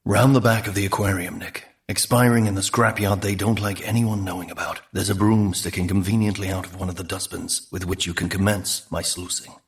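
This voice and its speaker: A man's voice: low voice, steady tone, a little fast, hardly any pauses. A man voicing a dying psychic dugong in an audiobook, solemn, ponderous and formally wordy. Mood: dying, pleading. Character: dying dugong, male, psychic, solemn, verbose, sounding like a grave film star.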